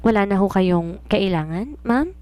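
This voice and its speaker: A woman's voice: medium pitch, animated, measured pace, warm tone, no room sound, natural voice. A woman with a Filipino accent reading a polite, eager young woman posing as a housemaid in a storybook. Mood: polite, attentive. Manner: deferential, courteous.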